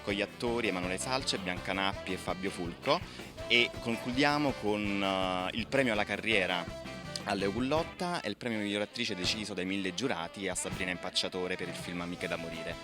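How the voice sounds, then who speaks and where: Male interviewee, low voice, festival official reading off a programme haltingly, clear music underneath. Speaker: festival deputy director, interviewee. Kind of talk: interview answer listing programme. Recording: music playing behind the voice.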